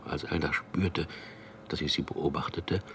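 An actor in a German radio drama playing a smooth-talking propagandist who tells and acts out his own story in an earnest way. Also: extremely low pitch, fairly even intonation, measured pace, fairly bright tone, a little hiss or hum, exaggerated voice. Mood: reflective.

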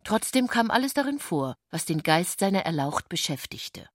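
A woman performing as the measured, composed narrator of a satirical literary radio drama. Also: fairly low pitch, big pitch swings, no echo, studio quality.

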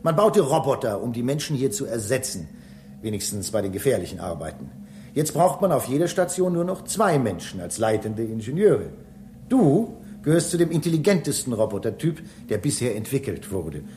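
A man's voice: mid-range voice, sing-song, moderate pace, dark tone, slight background noise, close to an everyday voice. A man playing a human space-station engineer in a radio drama, explaining things emphatically and with some impatience. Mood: lecturing. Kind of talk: German radio drama acting.

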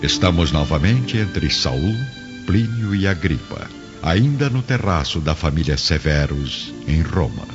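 Deep male radio drama narrator. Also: sing-song, a little slow. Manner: solemn, theatrical. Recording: dull lo-fi recording, music underneath.

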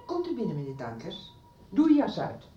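A woman's voice: lowish voice, wildly swooping pitch, a little fast, highs cut off, soft background music. An actress playing a middle-aged mother in a naturalistic radio soap, firm and protective, caring in manner. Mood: polite, guarded. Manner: polite but firm, consoling. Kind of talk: naturalistic 1990s Dutch radio soap acting.

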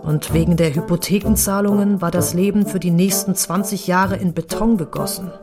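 Woman narrating over clear music. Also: lowish voice, fairly even intonation, normal speed. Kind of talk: scripted literary narration for audio drama.

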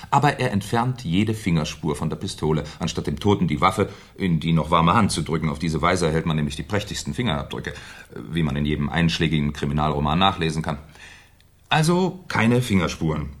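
A man's voice: low-pitched, expressive, fairly quick. An actor playing a shrewd, politely ironic police commissioner in a 1970s German radio crime drama, musing and probing as he talks. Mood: musing, reasoning. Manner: musing, hesitant, slyly polite questioning.

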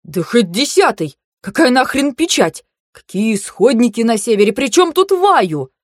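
A woman voicing a hot-headed young nobleman who shouts in outrage. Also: a little high, big pitch swings, normal speed, no echo, clean studio sound, pitched above the performer's own voice. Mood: outraged.

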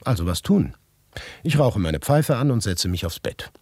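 A man performing a first-person literary monologue for a radio play, intense and shifting between hushed and agitated delivery. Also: lowish voice, big pitch swings, moderate pace, husky, no room sound, studio quality.

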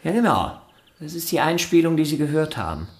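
An actor in a German radio play voicing a gentle, earnest violin virtuoso who is eagerly polite and hesitant, often repeating his words. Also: on the high side, big pitch swings, brisk. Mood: obliging, puzzled.

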